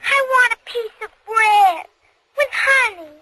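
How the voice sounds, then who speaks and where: A woman's voice: very high voice, slow, sharp tone, heavily acted. An actress playing an innocent, bewildered four-year-old girl in an old radio drama, speaking in a small, plaintive voice. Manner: small, plaintive, childlike recitation. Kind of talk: 1940s radio acting. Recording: very noisy old radio recording.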